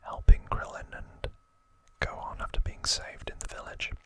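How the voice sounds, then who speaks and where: A man whispering breathily in a casual ASMR recap, explaining informally and with some hesitation. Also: normal speed. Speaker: ASMR video host.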